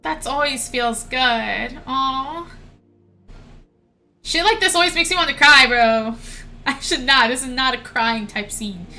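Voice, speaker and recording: A woman livestreaming a game with a high, bright voice. She reacts in slow, slangy, sweary bursts of casual commentary over faint music.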